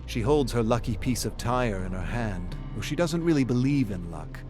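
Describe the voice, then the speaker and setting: A man's voice: mid-range voice, expressive, moderate pace, music in the background. A man narrating a scripted story in a dramatic style.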